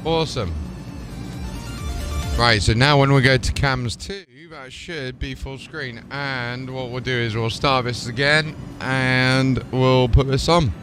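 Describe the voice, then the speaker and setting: A man's voice: mid-range voice, steady tone, slow pace. A man livestreaming casually, chatting and talking himself through tasks aloud. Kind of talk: casual stream chat and commentary. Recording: music playing behind the voice. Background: music.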